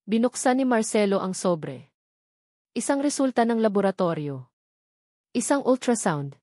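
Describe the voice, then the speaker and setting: A woman with a Filipino accent reads a scripted love story in an expressive, sentimental storytelling manner. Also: medium pitch, normal speed, dry recording, clean studio sound. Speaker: story narrator.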